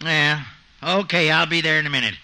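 An actor playing a folksy, wry small-town animal doctor in a 1950s radio comedy. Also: male voice, on the high side, steady tone, brisk, bright, forceful tone. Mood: grumbling, wry. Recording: very noisy old radio, bleating sheep behind.

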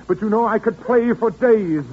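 An actor playing a suave, calmly menacing murderer who plays the organ, speaking softly and slowly with relish in an old radio drama. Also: male voice, high-pitched, a little hiss or hum, natural voice. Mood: gloating. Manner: soft, slow, relishing menace. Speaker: villain in a radio play. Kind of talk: 1940s radio acting. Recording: muffled old radio recording with organ music.

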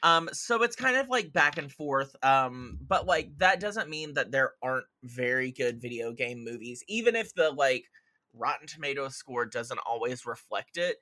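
A man's voice: mid-range voice, lively intonation, normal speed, pushed and bright, no echo. A man chatting casually as a podcast guest, opinionated and emphatic in the way he talks.

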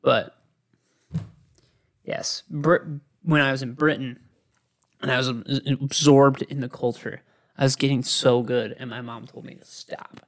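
A young man talks as a podcast co-host. His voice has plenty of vocal fry and sits at an ordinary male pitch. The delivery is casual and conversational. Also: fairly even intonation, a little slow, long pauses. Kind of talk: casual chat.

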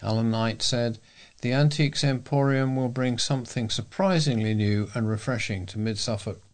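A British man reading local news and letters aloud as a volunteer, in a measured way. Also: mid-range voice.

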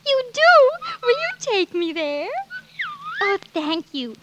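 A woman's voice: very high voice, sing-song, slow, heavy background noise, heavily acted. An actress playing a sweet, timid young princess in 1940s radio style, breathless and childlike in her exclamations. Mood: sweet, delighted. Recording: vintage 1940s radio recording, orchestral music behind.

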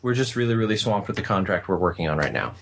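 A man's voice: low-pitched, big pitch swings, fast talker, vocal fry, a little hiss or hum. A man on a podcast panel talking casually in a joking, self-deprecating way.

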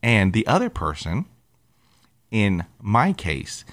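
A man's voice: lowish voice, wildly swooping pitch, takes it slowly, fairly bright tone. A man telling personal stories about living with a stutter, laughing often and dropping into whispers, with audible stutters. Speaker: podcast host who stutters. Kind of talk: personal storytelling podcast.

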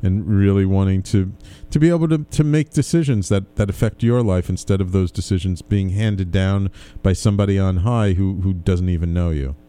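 A man's voice: deep voice, moderate pace, mellow, clean tone. A man hosting a radio show in a casual, conversational interview style, chatty and rambling as he talks.

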